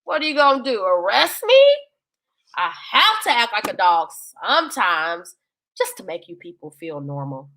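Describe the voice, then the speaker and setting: Sassy, wry family dog for a storybook , played by a woman. Bright, lively, cheeky. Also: mid-range voice, normal speed, pitched below the performer's own voice. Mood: indignant, sassy. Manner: folksy, cheeky asides. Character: sassy, wry pet dog narrating the tale.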